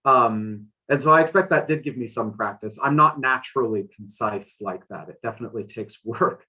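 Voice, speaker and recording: A man with a notably bright voice and mid-range pitch speaks on a panel about literary work. He is thoughtful and halting, repeating words as he shapes each point. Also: slightly rough, poor audio. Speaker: literary translator and interpreter. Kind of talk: panel discussion, interpreting and answering questions.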